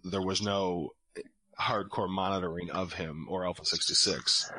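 A man's voice: low voice, fairly even intonation, moderate pace, sharp tone, low-quality recording. A man hosting a podcast discussion in an analytical, explanatory way, going through documents. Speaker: podcast host.

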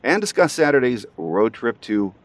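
A man's voice: mid-range voice, sing-song, moderate pace, no echo. A middle-aged or older American man delivering scripted sports commentary as a podcast host.

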